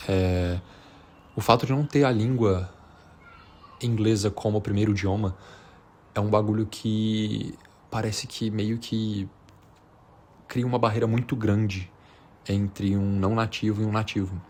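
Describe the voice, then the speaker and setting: A man's voice: lowish voice, steady tone, measured pace, pauses often, darkish tone, a little hiss or hum. A man from Minas Gerais with a Brazilian accent, chatting casually and without a script, in a slangy, hesitant, rambling way. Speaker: vlogger. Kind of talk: unscripted casual chat to camera.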